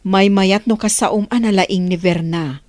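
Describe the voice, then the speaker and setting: A woman's voice: medium pitch, measured pace, darkish tone, no echo, lightly acted. A woman playing an opinionated middle-aged mother questioning her grown son in a radio drama. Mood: probing, disapproving.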